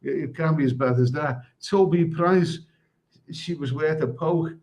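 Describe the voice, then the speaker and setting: A man's voice: fairly high pitch, unhurried, a little mellow. A man hosting a casual livestream, reading viewer comments in a crude, rambling way and often tripping over his words. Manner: crude, rambling, stumbles and repeats himself. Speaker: live stream host.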